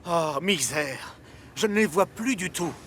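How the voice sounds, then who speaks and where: Bright, animated, hearty boat captain. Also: male voice, a little high, measured pace, slight background noise. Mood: worried, reassuring. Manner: hearty, expressive. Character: jolly, kindly male harbour boat captain. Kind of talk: French cartoon dub acting.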